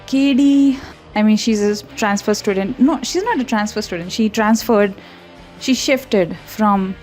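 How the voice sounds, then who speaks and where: A young woman from India speaks English as a second language, rambling happily in a casual voiceover with frequent little laughs and restarts. Her tone is mellow and warm rather than bright. Light music plays faintly under her voice. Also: medium pitch, unhurried. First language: not English. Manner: rambling, chatty, frequent giggles and self-corrections. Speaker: art channel host. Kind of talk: casual voiceover over a speedpaint.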